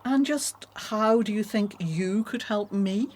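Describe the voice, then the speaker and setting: An actress playing a willful, commanding Egyptian princess in a radio drama, haughty but with a tender streak. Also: female voice, medium pitch, takes it slowly, a little mellow. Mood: imperious. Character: imperious, willful Egyptian princess, softening to babies.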